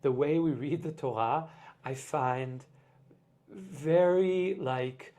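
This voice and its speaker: A man's voice: a little high, slow, warm tone. Brief: male guest, casual chat, thoughtful, loose and filler-heavy delivery. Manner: reflective, explains with many "like" fillers. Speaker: podcast guest, artist. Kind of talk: casual conversation.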